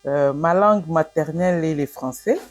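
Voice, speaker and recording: A woman's voice: deep voice, moderate pace. A woman from DR Congo with a Congolese French accent giving short interview answers.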